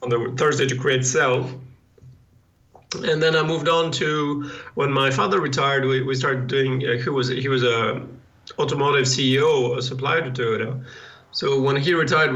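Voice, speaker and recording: Bright-voiced man on a dull line. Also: mid-range voice. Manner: thoughtful, self-deprecating, halting. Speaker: guest, lean consultant. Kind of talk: interview answers.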